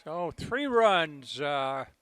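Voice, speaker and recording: Man, local baseball play-by-play, fairly high pitch, voice going hoarse, low-key. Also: sing-song, drawn-out words, a bit bright, no echo. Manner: low-key, conversational calls. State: losing his voice. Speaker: play-by-play commentator.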